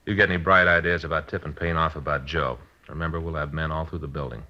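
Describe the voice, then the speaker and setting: An actor playing a stern, cautious police officer with a terse, hard-boiled manner in a radio drama. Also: male voice, rumbling low, fairly even intonation, quick pace, pushed and bright, full character voice. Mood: stern warning. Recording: dull vintage radio recording.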